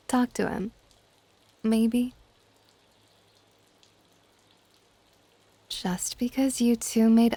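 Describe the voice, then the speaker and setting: A woman playing an older dragon goddess in an ASMR roleplay, soft-spoken and formal, stately and gently exasperated. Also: fairly high pitch, no echo. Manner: soft-spoken, breathy asides, formal wording.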